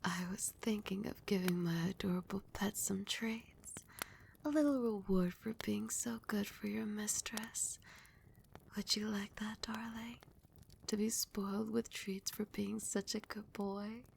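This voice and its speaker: A woman plays a seductive, dominant vampire queen in an intimate ASMR roleplay. Her voice is soft, breathy and mellow, often dropping toward a whisper, with a creaky edge. She soothes and coos slowly like a doting ruler, over faint background hiss. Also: medium pitch, fairly even intonation. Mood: doting, soothing. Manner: slow, soothing, cooing endearments.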